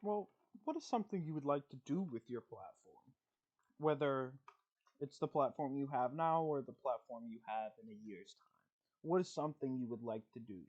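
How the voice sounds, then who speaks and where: A man's voice: medium pitch, animated, normal speed, a little mellow. A man hosting a podcast, chatting loosely and swearing freely as he tells gaming stories. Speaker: podcast host. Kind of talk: casual interview chat. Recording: slightly dull lo-fi sound.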